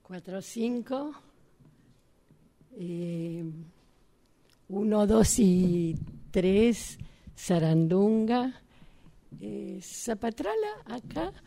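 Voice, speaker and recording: A woman improvising numbers and nonsense words in a halting acting exercise. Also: mid-range voice, sing-song, vocal fry, mellow. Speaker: radio drama workshop participant.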